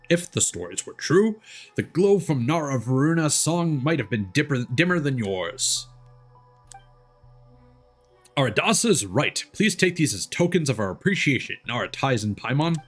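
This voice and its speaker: Man voicing an earnest, animated little forest spirit. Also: medium pitch, normal speed, faint music behind. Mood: earnest, grateful. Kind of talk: reading game dialogue aloud.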